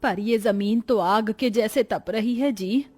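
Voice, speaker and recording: A woman's voice: fairly high pitch, slow pace, dark tone, faint music behind. A woman voicing a poor, long-suffering, plaintive family woman in a cartoon story. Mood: pleading. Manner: plaintive, lamenting. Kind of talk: Hindi cartoon story acting.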